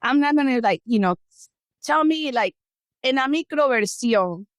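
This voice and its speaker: A woman podcast host with a slightly high voice who talks in a lively, chatty way. She switches back and forth between Spanish and English as she asks questions. The sound is close and dry. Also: normal speed, no room sound. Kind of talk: casual interview, mixing Spanish and English.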